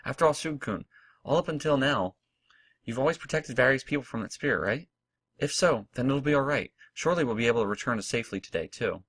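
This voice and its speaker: A man voicing a scared young girl in a game who calls out for help and reassures a friend, in a high, strained voice. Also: expressive, quick pace, fairly bright tone, husky, no echo. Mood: reassuring.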